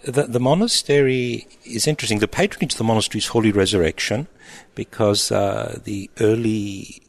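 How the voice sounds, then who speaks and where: A middle-aged man, an abbot speaking in an interview in a thoughtful, halting way with frequent restarts. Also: fairly low pitch, gravelly. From Egypt, raised in Australia. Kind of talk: reflective interview answers.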